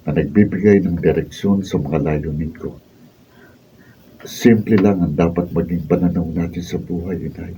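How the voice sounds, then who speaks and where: Male actor as devoted young husband, Filipino accent, low mellow voice, solemn radio drama delivery, distant noisy recording. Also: lightly acted. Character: earnest, steadfast young husband and father defending his love. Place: recording studio, behind-the-scenes camera recording. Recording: roomy distant mic, faint background noise.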